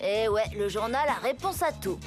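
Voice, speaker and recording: A high, bouncy cartoon schoolboy acted by a woman, cocky and scheming. The delivery swings widely and theatrically, like a kid narrating his own schemes. Music plays clearly underneath. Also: lively intonation, normal speed, close to an everyday voice. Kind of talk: French cartoon dialogue and narration.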